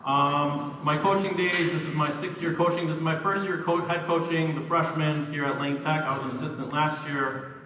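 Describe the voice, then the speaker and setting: A man's voice: a little high, fairly even intonation, moderate pace, bright, forceful tone. A young man, born in Poland and raised in Canada, giving a self-deprecating banquet speech as a freshman basketball coach. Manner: self-deprecating, chuckling asides. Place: large echoey hall. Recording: echoey, distant hall recording with background noise.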